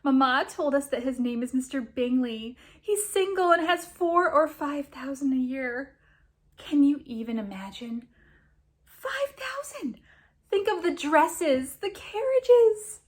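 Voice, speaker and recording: A woman voicing a giddy, excitable teenage sister in a storybook, breathless and gushing. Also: high voice, slow, voice pushed up.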